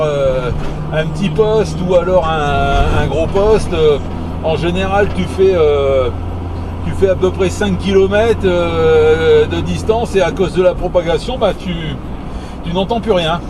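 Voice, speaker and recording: A man with a fairly high voice, chatting as a CB radio operator. He rambles in colloquial phrases and repeats himself. Clear vehicle noise surrounds him. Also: a little slow. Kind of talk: casual CB radio chat.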